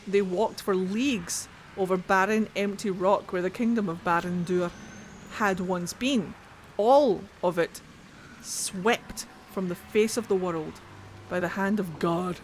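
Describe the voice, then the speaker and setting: Woman, Scottish accent, game streamer, casual, swears, mid pitch. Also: unhurried, slight background noise, low-quality recording. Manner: chatty, swears freely, talks to her chat. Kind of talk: casual gameplay commentary and dramatic codex reading.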